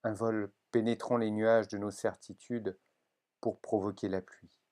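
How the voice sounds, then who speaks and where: A man giving a solemn poetry reading, with measured and deliberate phrasing. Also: on the low side, little pitch movement, darkish tone. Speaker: poetry reader.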